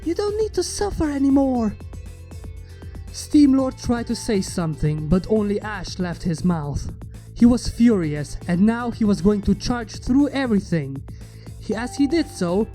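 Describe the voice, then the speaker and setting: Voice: medium pitch, mellow. A narrator of ambiguous-sounding sex reading a scripted fan fiction story in a dramatic storytelling style. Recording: music behind the voice.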